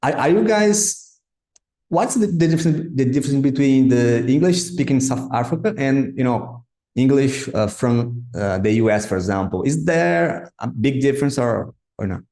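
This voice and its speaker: Halting host, Portuguese native speaker. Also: male voice, mid-range voice, lively intonation, mellow, reverberant. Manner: hesitant, halting with restarts. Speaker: podcast host. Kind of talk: interviewing a guest.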